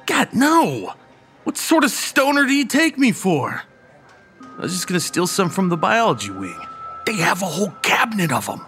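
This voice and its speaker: Animated young college slacker. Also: male voice, high voice, moderate pace, faint music behind, full character voice. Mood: indignant. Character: eager, wheedling male college student and stoner slacker. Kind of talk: audio horror drama acting.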